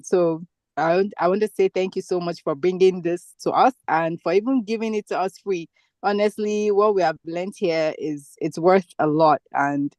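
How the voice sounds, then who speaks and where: A woman student on a video call thanking her teacher warmly and at length, with frequent laughs. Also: fairly low pitch, no echo. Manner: effusive, appreciative, laughs often. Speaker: student in the class. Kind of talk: casual thanks and chat.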